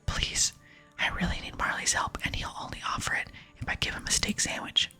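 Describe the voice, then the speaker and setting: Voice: brisk, pushed and bright, faint music behind. A person voicing a polite, pleading and slightly cheeky video game adventurer in a whisper. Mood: eager, cheeky.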